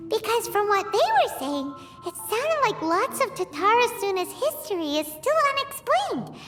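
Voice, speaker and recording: A woman playing a small, childlike fairy companion in a video game, squeaky and excitable, often exclaiming. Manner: refers to self in third person, exclaiming. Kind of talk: video game character acting. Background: background music.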